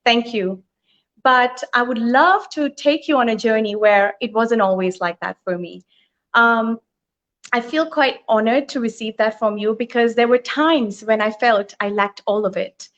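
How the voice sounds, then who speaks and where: A middle-aged woman from Thailand telling her life story as a guest, earnest and thoughtful, with frequent pauses. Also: on the high side, a bit bright. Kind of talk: reflective personal storytelling. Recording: lo-fi online live stream.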